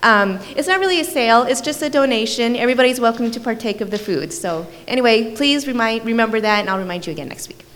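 A woman's voice: a little high, expressive, fast talker, hardly any pauses, fairly bright tone, slight background noise. A woman volunteer making a chatty, hesitant church announcement with many fillers. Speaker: church social action volunteer. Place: church sanctuary. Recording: long reverb of a church hall.

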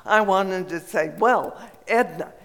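An older woman from Colorado tells a personal story in a slow, unhurried way, pausing often and filling gaps with small hesitations. Her raspy voice carries a gravelly edge. The sound rings with the long reverb of a large hall. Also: mid-range voice. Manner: slow, gentle reminiscing with frequent pauses and fillers.

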